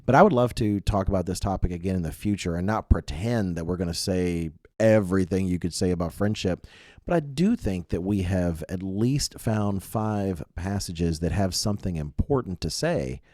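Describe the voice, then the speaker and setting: A man's voice: low-pitched, normal speed, darkish tone, no echo, studio quality. A man co-hosting a casual religious youth podcast in a chatty, self-deprecating way, full of asides, getting over a mild cold. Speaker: podcast co-host.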